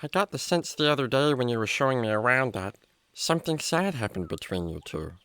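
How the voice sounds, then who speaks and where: Guy voicing a chatty little animal buddy for kids radio drama, super animated, stammery and polite, bright edgy voice. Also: medium pitch, a little hiss or hum. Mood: concerned. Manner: hesitant, wordy, comically halting. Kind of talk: children's radio drama acting.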